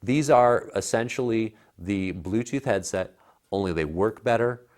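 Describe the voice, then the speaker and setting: Low-voiced man reviewing tech casually. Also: unhurried, darkish tone. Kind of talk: casual product review chat. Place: living room by a fireplace, indoors. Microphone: lapel mic.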